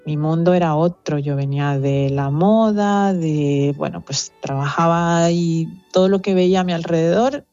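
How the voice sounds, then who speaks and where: A middle-aged woman with a Venezuelan accent, speaking thoughtfully as an interview guest, pausing with fillers as she reflects. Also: sits low, steady tone, warm tone, dull audio, faint music behind. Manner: reflective, earnest, with fillers and restarts. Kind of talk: conversational interview.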